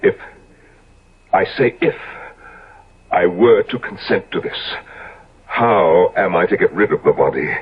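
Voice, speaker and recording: An actor playing a stern, reluctant gentleman friend in a 1970s radio drama, speaking in a formal, indignant manner. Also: deep voice, animated, a little slow, long pauses, breathy edge, heavily acted. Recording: dull, lo-fi old radio recording.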